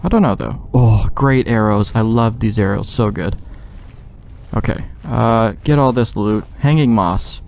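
A man's voice: on the low side, a little slow, gravelly, darkish tone. A man giving casual, rambling gameplay commentary with plenty of slang and swearing. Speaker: let's play gamer. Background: game music.

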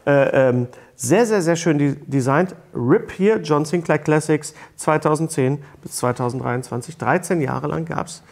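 Middle-aged man hosting, gravelly edge. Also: a little high, measured pace, hardly any pauses, darkish tone. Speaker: talk show host. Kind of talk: casual presenting and chat. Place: small studio set, seated at a table. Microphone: lapel mic.

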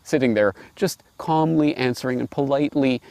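A man in his forties giving reflective commentary and reading aloud, deliberate and emphatic, recorded outdoors on a lapel mic. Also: medium pitch, gravelly, darkish tone, clean tone, no echo. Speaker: host and commentator. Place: outdoors on grass by trees and water.

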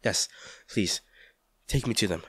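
A man playing an anguished, tender lover comforting an injured partner in a hospital audio roleplay, speaking haltingly and with emotion. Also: lowish voice, a bit bright, slightly rough, dry recording, clean studio sound. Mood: grieving, tender. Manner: halting, stammering, emotional delivery. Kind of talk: audio roleplay drama, one-sided dialogue.

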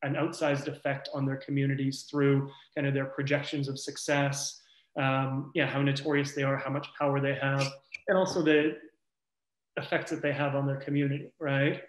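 A man's voice: mid-range voice, flat delivery, normal speed. A man speaking as a researcher in an academic panel discussion, thoughtful and loose, searching for his words as he goes. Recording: echoey room.

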